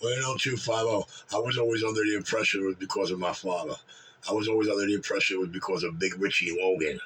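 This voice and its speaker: A man's voice: on the low side, quick pace, a bit bright, a little hiss or hum. A man, bragging and trash talking profanely in casual chat. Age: 60s. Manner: brash, boastful, profane trash talk. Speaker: regular on a call-in chat room show. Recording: distant mic.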